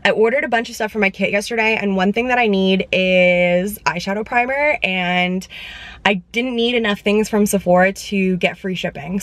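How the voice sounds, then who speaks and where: A woman's voice: mid-range voice, no echo. Chatty young woman vlogging from her car. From Canada. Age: young adult. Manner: chatty, upbeat, lots of "like". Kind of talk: casual vlog chat to camera. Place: inside a parked car. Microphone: camera on the dashboard.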